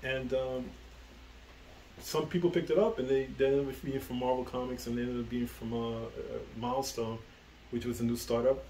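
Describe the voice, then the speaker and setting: A middle-aged man from the Bronx, answering as the guest in a relaxed interview. He rambles, halts and restarts, filling gaps with little fillers. Faint noise sits behind him, and his pitch sits in the middle range. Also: moderate pace, roomy sound, rough recording. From the Bronx, New York. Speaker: guest, comic book writer. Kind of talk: casual interview conversation.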